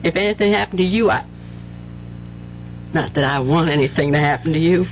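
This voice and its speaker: Tired hurt wife, old radio drama, careful hesitant talk, muffled hummy recording. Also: female voice, low-pitched, a little fast, slight background noise. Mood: hurt reproach. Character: weary, hurt wife and mother, guarded but yielding.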